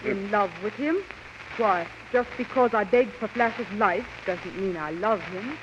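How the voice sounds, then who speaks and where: A woman's voice: medium pitch, measured pace, close to an everyday voice. An actress playing a brave young captive woman in a 1930s radio serial. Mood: coy flattery. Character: brave young Earth woman captive, pleading then coyly flattering. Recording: muffled, noisy old radio recording.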